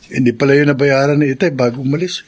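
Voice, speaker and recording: A man's voice: medium pitch, fast, a little mellow, natural voice. A man playing an earnest, responsible young student son in a Filipino radio drama, speaking sincerely. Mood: earnest, concerned. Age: young adult.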